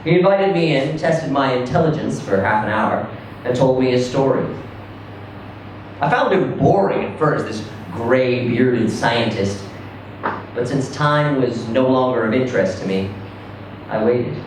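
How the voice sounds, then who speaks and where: A man performs a first-person story in a very echoey space, heard at some distance from the microphone. His delivery is conversational and theatrical, with lively swings in pitch and deliberate pauses. Faint noise sits under the voice. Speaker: reader performing a short story. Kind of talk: dramatic first-person story reading to a live audience. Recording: roomy, echoing, distant mic with faint noise.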